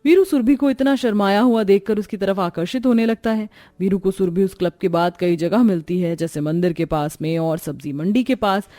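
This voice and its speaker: A woman's voice: medium pitch, brisk, few pauses, mellow, no room sound. A woman reading a scripted moral story as its narrator.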